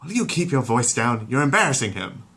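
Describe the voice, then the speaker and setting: A man voicing a motherly, quick-tempered cartoon wife who coaxes and scolds. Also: medium pitch, big pitch swings, pushed and bright, voice pushed up. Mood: exasperated. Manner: coaxing, scolding.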